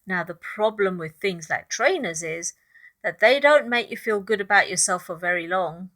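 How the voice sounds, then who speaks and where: A woman reading a children's picture book aloud in expressive narration, with asides to young listeners about the pictures. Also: mid-range voice, moderate pace. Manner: addresses young listeners, points out pictures, asks questions.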